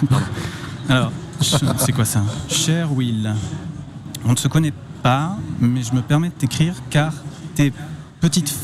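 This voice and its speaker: A man's voice: mid-range voice, noisy background. A man in his thirties from Spain, performing a playful, self-mocking comic chronicle live at a radio fair. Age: 30s. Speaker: comedian and offbeat reporter, contest candidate. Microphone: headset with headphones.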